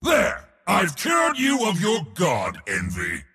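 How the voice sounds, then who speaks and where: An actor playing a boastful, battle-hungry god of war in a video game, with a raspy, gravelly voice and a pompous, taunting, theatrical delivery. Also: male voice, huge pitch swings, slow pace, sharp tone, shouting, in a large hall, faint music behind, exaggerated voice. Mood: furious, commanding. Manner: pompous, theatrical, taunting, swinging between bellows and low sneers. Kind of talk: video game hero voice lines.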